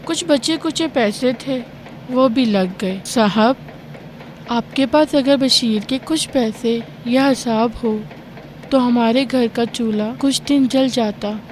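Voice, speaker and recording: A woman's voice: a little high, slow, darkish tone, a little hiss or hum. A woman playing a poor, weary widowed mother in a radio drama, earnest and plaintive. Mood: pleading. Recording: music behind the voice.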